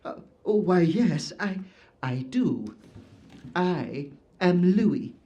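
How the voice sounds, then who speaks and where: Performer voicing a pompous talking wardrobe, fussy wizard, stammering, swooping pitch. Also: expressive, very slow, dark tone, highs cut off. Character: fussy male wizard living as an armoire. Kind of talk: audio drama acting.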